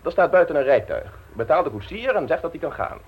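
An actor playing a suave, insolent blackmailer in a radio play, mockingly polite and smoothly domineering. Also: male voice, a little high, big pitch swings, fast talker, highs cut off. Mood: smug, commanding. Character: suave, insolent male blackmailer installing himself as private secretary.

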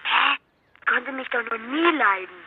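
An actress playing an excitable young boy over a telephone filter in a children's radio play. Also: fairly high pitch, unhurried, husky, heavily acted. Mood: indignant. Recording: tinny, hissy phone line.

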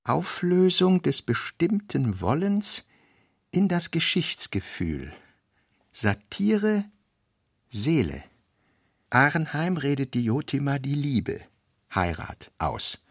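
A man reading an author's fragmentary working notes for a radio play, slowly and deliberately. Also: on the high side, big pitch swings, dry recording, studio quality. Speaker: reader.

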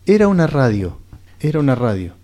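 A man's voice: medium pitch, very animated, a little fast, warm tone, lightly acted. A man with a Rioplatense accent in a radio play, playing a muddled, dreamy storyteller who hesitates, rambles and repeats himself. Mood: rambling, hesitant. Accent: Rioplatense Argentine. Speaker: radio drama actor. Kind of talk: conversational radio theatre.

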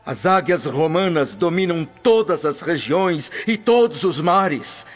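Solemn ancient preacher, declaiming prophecy, radio drama sermon, highish voice, muffled recording. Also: male voice, husky, exaggerated voice. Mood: prophetic. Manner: oratorical, grave, declamatory. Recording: dull lo-fi radio drama, music behind.

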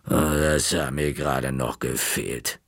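A man voicing a huge, grim old woman librarian, speaking slowly and curtly in a grating voice. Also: a bass voice, steady tone, gravelly, slightly rough, dry recording, clean studio sound, pitched below the performer's own voice, exaggerated voice. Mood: gruff. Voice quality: grating, rusty voice. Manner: slow, grumbling, curt.

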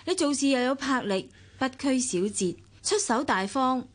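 A woman's voice: sits high, lively intonation, unhurried. A woman with a Hong Kong accent acting a weary, proud young wife in a radio drama, with a cutting, sardonic manner. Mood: cool, cutting. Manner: cutting, sarcastic. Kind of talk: Cantonese radio drama acting, monologue and dialogue.

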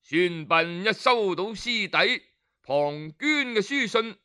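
A man telling a traditional folk tale in an animated, drawn-out storytelling manner. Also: sits high.

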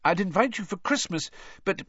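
A man's voice: sits high, expressive, moderate pace, a bit bright, breathy edge, no echo, lo-fi sound, voice pushed up, full character voice. A man voicing a middle-aged mother in an audiobook, cheerful, teasing and coy.

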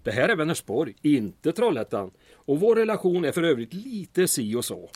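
A man's voice: fairly high pitch, big pitch swings, a little mellow, husky, no echo. An actor playing a proud, guarded male town mayor in a radio drama, formal and dryly sarcastic. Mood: defensive, indignant.

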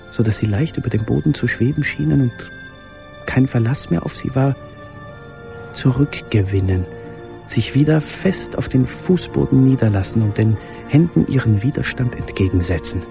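A man voicing his secret letters in a radio play, brooding and full of longing. His voice is mid-pitched, dark and creaky. He places his phrases haltingly and deliberately, with faint music behind.

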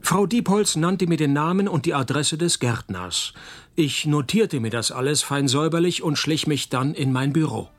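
An actor playing a wry, world-weary private detective in a radio crime comedy, deadpan and sardonic. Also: male voice, on the high side, normal speed. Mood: dry, wry. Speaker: detective and narrator. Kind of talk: radio crime comedy, first-person noir narration.

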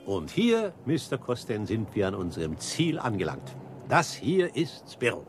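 An actor playing a pompous, devoted restaurant regular in a radio drama, speaking formally and with reverent emphasis. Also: male voice, fairly low pitch, wildly swooping pitch, measured pace, loud music behind the voice, full character voice. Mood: fervent, proud. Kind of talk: German radio drama acting.

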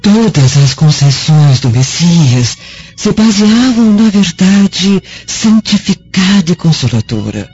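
An actress playing a gentle, devout servant woman in a radio drama, husky and solemnly consoling. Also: on the low side, sing-song, takes it slowly, exaggerated voice. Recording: dull lo-fi radio drama recording, music.

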